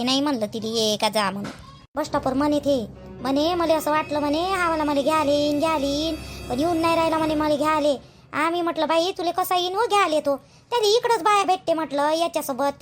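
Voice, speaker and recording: An extremely high-pitched cartoon village wife, acted by a woman, gossips in a Vidarbha Marathi accent. She is an aggrieved daughter-in-law who airs household complaints chattily and leans hard on repeated phrases. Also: fairly bright tone. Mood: indignant. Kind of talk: colloquial cartoon gossip dialogue.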